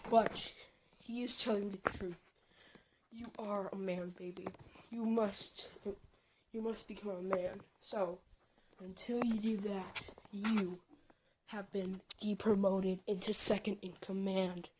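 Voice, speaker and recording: A woman voicing a calm older male villain mastermind who speaks in a slow, measured, soft way. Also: medium pitch, noisy background, natural voice. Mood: stern.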